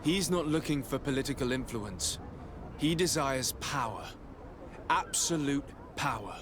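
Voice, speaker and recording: An actor playing an earnest young nobleman in a video game, speaking formally and gravely as a resolute envoy. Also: mid-range voice, expressive, a little slow, background noise, close to an everyday voice. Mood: firm persuasion. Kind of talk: dramatic video game dialogue.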